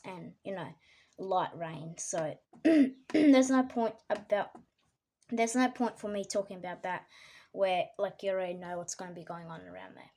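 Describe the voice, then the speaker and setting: Australian woman, croaky with a cold, mid-pitched, hesitant forecaster, keeps clearing her throat. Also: a little mellow. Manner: hesitant, many ums, frequent throat clearing. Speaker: amateur weather forecaster. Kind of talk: casual weather forecast commentary.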